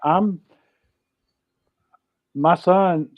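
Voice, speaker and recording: A man's voice: high voice, slow, no echo. A middle-aged or older man with a Southern US accent, chatting casually as co-host of a livestream in a folksy way, repeating himself and greeting viewers. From Georgia, United States. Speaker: live show co-host. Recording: dull, dry close audio.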